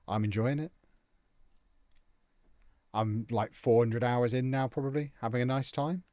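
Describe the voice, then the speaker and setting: A man's voice: mid-range voice, steady tone, measured pace, a little mellow, dry recording, clean studio sound. A young man chatting casually and jokily on a live stream, answering viewers' comments as he plays a football management game.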